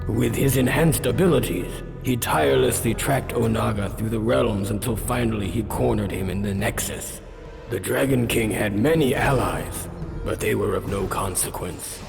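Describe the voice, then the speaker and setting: Grave epic storyteller for a fantasy game, measured, creaky, lots of reverb. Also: male voice, lowish voice, a little mellow, soft background music. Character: grave male chronicler of fantasy legends. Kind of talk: scripted story narration.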